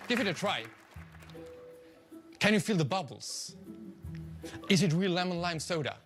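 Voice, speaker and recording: A man's voice: sits high, sing-song, unhurried, a crowd in the background. A man from Switzerland, doing dry, tongue-in-cheek stage patter as a magician performing for judges. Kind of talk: scripted comic stage patter. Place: TV studio stage with live audience. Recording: studio stage with audience and music.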